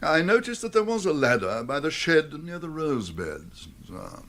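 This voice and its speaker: An actor playing an unflappable, erudite English valet in a comic radio drama, speaking formally and with polite deference. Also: male voice, fairly high pitch, sing-song, measured pace, fairly bright tone. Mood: suave deference.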